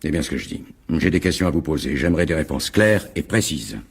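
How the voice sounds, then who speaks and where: An actor playing a gruff, skeptical middle-aged police inspector, commanding and sardonic, in a French radio crime drama. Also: male voice, a bass voice, animated, quick pace, full character voice. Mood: probing.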